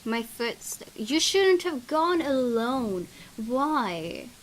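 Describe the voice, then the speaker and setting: A woman's voice: high-pitched, drawn-out words, background noise. A woman hosting a gaming video, reading a visual novel aloud dramatically and reacting with open emotion. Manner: expressive, exclaiming at the game.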